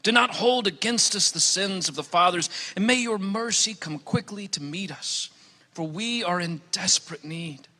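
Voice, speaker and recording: A man's voice: high voice, moderate pace, sharp tone. A man reading scripture aloud in a solemn, formal style. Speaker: scripture reader. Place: large hall, church service. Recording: echoey hall with long reverb.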